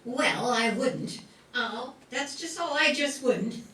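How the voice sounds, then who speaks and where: Roomy recording, lady doing a whiny sulky teen girl for radio comedy, very high. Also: slow, sharp tone. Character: whiny, sulky teenage daughter. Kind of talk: old-time radio comedy acting. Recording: roomy, distant mic, faint noise.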